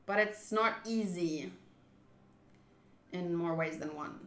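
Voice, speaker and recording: A woman streaming a visual novel playthrough, reading the text aloud and chatting with viewers in an expressive way. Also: on the low side, slow, echoey room, a little hiss or hum. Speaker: game streamer.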